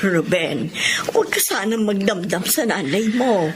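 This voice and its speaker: Lady playing caring filipino auntie in radio drama, very animated, mid pitch, noisy recording. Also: unhurried, natural voice. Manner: motherly, emphatic. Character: caring, outspoken middle-aged aunt.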